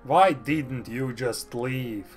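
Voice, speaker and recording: A man's voice: medium pitch, extremely slow, faint music behind. A man giving casual reaction commentary as he plays a game, throwing in sarcastic, crude remarks. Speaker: game streamer reacting to story.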